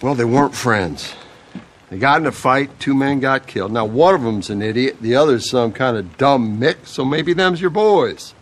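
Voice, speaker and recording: Man playing a curt frontier sheriff, lively pitch. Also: normal speed, lightly acted. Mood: dismissive. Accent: American Western. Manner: dismissive, contemptuous. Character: curt, contemptuous small-town Wild West sheriff. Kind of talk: video game cutscene acting.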